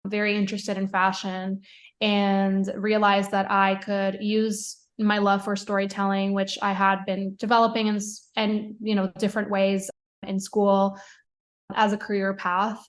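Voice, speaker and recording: Flat-voiced young woman from Puerto Rico. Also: medium pitch, unhurried. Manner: chatty, many fillers and restarts, laughs often. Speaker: podcast guest, fashion writer. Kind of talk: casual interview answers.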